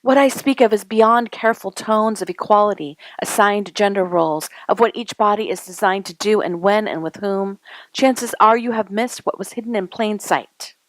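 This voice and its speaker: A woman reading poetry aloud in a dramatic spoken-word style, with deliberate, emphatic, declarative phrasing broken by pauses. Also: medium pitch, no echo, rough recording. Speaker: poet.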